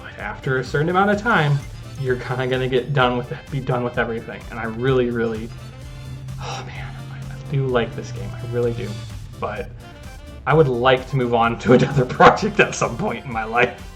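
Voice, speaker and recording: An easygoing man chats his way through a gameplay recording, circling back and restarting his thoughts as he goes. His voice sits at an ordinary middle pitch, and soft game music plays faintly underneath him. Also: fairly quick. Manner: rambling, self-interrupting, easygoing. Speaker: gaming channel host. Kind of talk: casual gameplay commentary.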